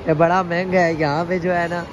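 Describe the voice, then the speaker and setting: A man's voice: a little high, little pitch movement, a little fast, highs cut off. A man chats casually and playfully to viewers in slangy, repeated phrases. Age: 20s. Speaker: travel vlogger. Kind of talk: casual vlog chat to viewers. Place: car, parking garage and busy indoor mall. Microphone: handheld phone at arm's length. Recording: noisy handheld recording with loud ambient noise.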